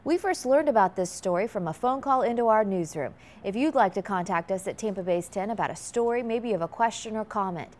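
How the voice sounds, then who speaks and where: Woman anchoring morning TV news. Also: mid-range voice. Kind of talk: scripted TV news delivery.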